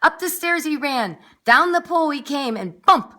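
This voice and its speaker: A woman's voice: high-pitched, lively intonation, unhurried, bright, forceful tone. A woman reading a children's story aloud expressively, with dramatic emphasis and little asides for young listeners. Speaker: nursery school story reader.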